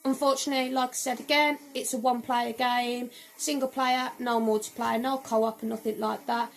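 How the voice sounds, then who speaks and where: A woman, her voice a little croaky, chatting casually as she reviews her game collection at home. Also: a little high, level tone, normal speed, slight background noise. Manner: chatty, rambling, with frequent "um" and "so, yeah". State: slightly croaky voice. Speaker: gaming vlogger. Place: home room.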